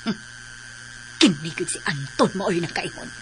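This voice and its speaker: A woman's voice: lowish voice, expressive, normal speed, faint music behind, lightly acted. An actress playing a distracted, bossy lady of the house with a Filipino accent in a radio drama. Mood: dismissive. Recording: noisy radio drama recording.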